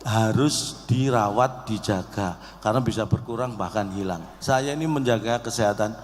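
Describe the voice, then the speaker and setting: A man's voice: fairly low pitch, moderate pace. A man, giving a joking, conversational humorous speech directly to a live audience. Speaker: comic speaker at an organisation's event. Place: large hall event with audience. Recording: echoey hall PA with background noise.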